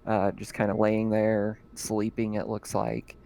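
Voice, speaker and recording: A man with a gravelly, slightly creaky voice runs a science-fiction tabletop game over voice chat. He talks in a relaxed, easygoing way, with plenty of ums and small laughs between lines. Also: lowish voice, unhurried. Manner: relaxed, laughs often, many fillers. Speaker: game master.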